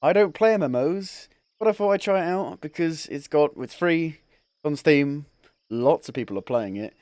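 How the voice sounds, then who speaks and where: A man's voice: a little high, expressive, moderate pace, darkish tone, dry recording, studio quality. A man casually commenting as he starts playing a game on stream, rambling and chuckling at himself. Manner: rambling, chuckling, self-deprecating. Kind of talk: casual let's-play commentary.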